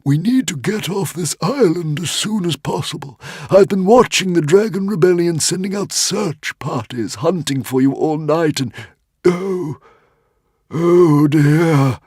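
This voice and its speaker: A man voicing a small, anxious male dragon who squeaks and frets in high, urgent bursts for an audiobook. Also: darkish tone, breathy edge, no room sound, studio quality. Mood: panicked. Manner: squeaky, high and panicky, drawn-out urgent pleas. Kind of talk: audiobook character voice.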